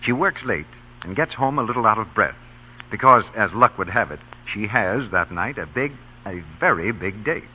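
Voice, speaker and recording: Male vintage radio commercial announcer, lively intonation, tinny band-limited recording. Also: lowish voice, normal speed, slightly rough. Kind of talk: 1930s-40s scripted radio announcing and commercial.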